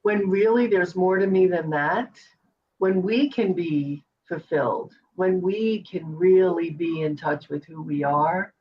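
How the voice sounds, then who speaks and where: Woman host, encouraging and effusive, lowish voice, distant from the mic with a dull, lo-fi sound. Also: unhurried, husky. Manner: warm, effusive thanks, encouraging. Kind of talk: interview show hosting.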